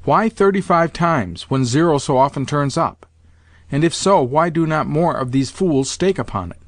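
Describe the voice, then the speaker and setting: A man's voice: fairly high pitch, lively intonation, moderate pace, dry recording, close to an everyday voice. A man voicing an imperious, impatient old grandmother in an audiobook, full of commands and exclamations. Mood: impatient, scornful. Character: imperious, excitable old Russian grandmother addicted to roulette. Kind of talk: audiobook character voice.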